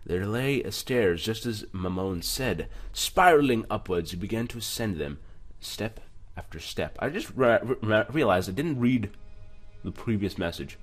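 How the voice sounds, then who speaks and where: A man's voice: lowish voice, animated, measured pace. A man hosting a let's play, reading game narration aloud and adding casual, joking commentary. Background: music.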